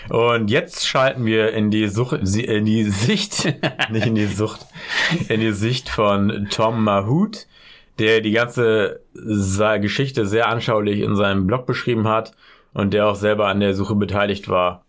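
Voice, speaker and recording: Man, lower pitch; relaxed podcast co-host telling the story. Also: measured pace. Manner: relaxed, laid-back, reads out case details. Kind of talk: casual true-crime podcast storytelling.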